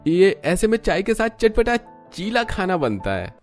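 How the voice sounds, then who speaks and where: पुरुष की आवाज़ में चटोरा जवान पति, उतार-चढ़ाव भरा सुर. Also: male voice, high-pitched, big pitch swings, unhurried, faint music behind, exaggerated voice. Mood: eager. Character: young husband hankering for snacks. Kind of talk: Hindi family cartoon dubbing.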